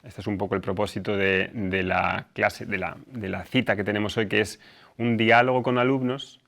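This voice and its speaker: A man hosting and introducing a student discussion, explaining things informally and somewhat haltingly. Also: on the low side, steady tone, vocal fry, fairly bright tone. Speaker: host, teacher.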